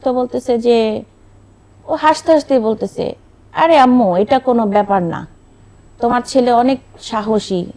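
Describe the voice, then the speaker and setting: Mellow, higher-voiced Bangladeshi woman telling her story. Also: measured pace, pauses often. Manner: quotes remembered dialogue. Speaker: interview guest, bereaved mother. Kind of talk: conversational personal storytelling.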